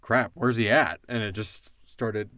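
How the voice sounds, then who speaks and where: A man's voice: lowish voice, fairly even intonation, unhurried, sharp tone. A man hosting a casual Q and A, explaining in an informal, rambling way. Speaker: podcast host. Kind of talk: casual Q and A discussion.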